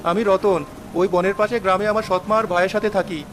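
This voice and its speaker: Woman playing fast-talking, plaintive village boy. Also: medium pitch, flat delivery, lightly acted. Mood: sorrowful. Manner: plaintive, pleading. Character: sad, mistreated young village boy, humble and earnest. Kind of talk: children's fairy-tale cartoon dubbing. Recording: music under the voice.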